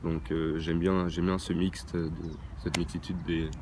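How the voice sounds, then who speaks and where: Deep-voiced teen boy, hesitant. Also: little pitch movement, measured pace. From France. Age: teens. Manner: hesitant, many fillers and restarts. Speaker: young baseball player interviewed. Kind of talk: interview answers. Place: outdoor baseball training ground near a motorway. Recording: outdoor traffic noise. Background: traffic and ambient noise.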